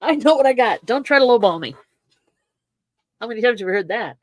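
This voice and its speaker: A woman's voice: fairly high pitch, lively intonation, brisk, dry recording. A woman in her sixties co-hosting a casual chat, repeating catchphrases and laughing often in playful banter. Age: 60s. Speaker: co-host. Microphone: desk microphone.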